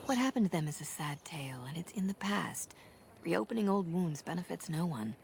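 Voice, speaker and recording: A woman playing a grave, protective elven clan elder in a video game, speaking in a measured, gently scolding way. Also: lowish voice, expressive, gravelly, a little hiss or hum. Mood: guarded, sombre.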